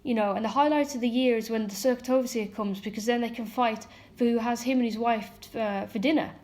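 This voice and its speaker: A woman from Ireland talks to camera in a loose, unscripted monologue. Her voice sits a little high, and she drifts between earnest points and scoffing asides, padding her speech with fillers as she thinks aloud. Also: steady tone, fairly quick, darkish tone. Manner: candid, frequent fillers and mocking asides. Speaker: ex-member commentator. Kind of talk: casual monologue commentary.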